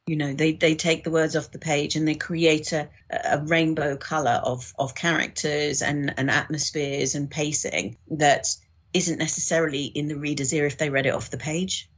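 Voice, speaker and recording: A female podcast guest with a deep, husky voice chats about books and audio production. She is expressive and enthusiastic in conversation. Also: normal speed. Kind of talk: conversational literary discussion.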